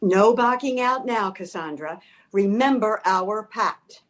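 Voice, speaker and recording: A woman's voice: medium pitch, animated, slow pace. A woman playing a determined, eccentric old lady in a comic readers theater piece, speaking in a formal, matter-of-fact, bossy way. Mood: firm. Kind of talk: comedic readers theater acting.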